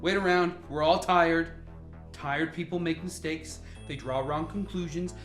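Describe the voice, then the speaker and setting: In a roomy radio-play recording, a woman plays a female team leader with a lowish, measured voice. She is authoritative and short on patience, giving orders to her scientists. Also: steady tone. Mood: weary, firm. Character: commanding, exasperated woman leading a scientific team. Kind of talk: radio drama acting. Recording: roomy echo, faint music bed.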